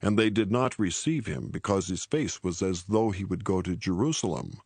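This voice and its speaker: Low-voiced man narrating scripture. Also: animated, a little mellow, breathy edge, no echo. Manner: measured, formal reading.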